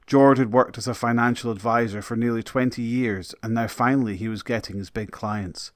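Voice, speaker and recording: Male narrator for scripted audio drama, dry close sound, medium pitch. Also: steady tone, brisk, no echo.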